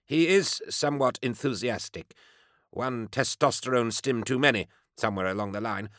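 A man's voice: mid-range voice, normal speed, fairly bright tone, no room sound, studio quality, pitched above the performer's own voice, close to an everyday voice. A man voicing a haughty, querulous academic, pompous and imperious, in an audiobook. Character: haughty, querulous male academic doctor, piqued and self-important.

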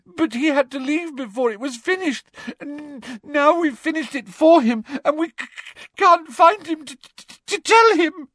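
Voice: medium pitch, animated, a little slow, hardly any pauses, bright, forceful tone, no room sound, voice pushed up. A performer voicing a distressed teenage schoolgirl in an audiobook, tearful and stammering but defiant. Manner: sobbing, stammering, gasping delivery.

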